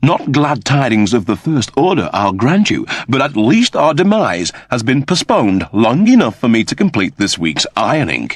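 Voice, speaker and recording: A courteous male android servant from a sci-fi audiobook, acted out by a man. He is soothing and deferential, cheerfully understating everything in a very lively melody. The voice is slightly husky. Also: medium pitch, moderate pace, hardly any pauses, no room sound, voice pushed up. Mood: unruffled, formal.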